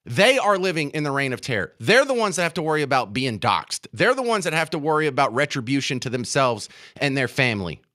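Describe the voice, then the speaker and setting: A man's voice: medium pitch, very animated, fairly quick, hardly any pauses, bright, forceful tone. A man with an American accent delivering an opinionated political radio monologue, emphatic and indignant, repeating phrases to drive them home. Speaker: radio talk show host. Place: radio studio.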